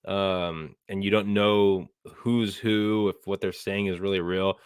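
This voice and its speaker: A man hosts a podcast and asks his guest long questions. His voice is low and smooth, with a dry close sound. He hesitates often, adding "I mean" and "um" as he goes. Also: level tone, takes it slowly, dry recording. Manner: hesitant, many "I mean" and "um" restarts. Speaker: podcast host. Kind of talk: interview questions.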